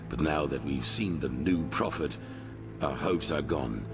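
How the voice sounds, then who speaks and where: A sinister otherworldly being from a fantasy game. The voice is cavernously deep and very slow, dripping with superior contempt. Music plays prominently behind it. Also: male voice, heavily acted. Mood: scornful. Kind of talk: fantasy game villain acting.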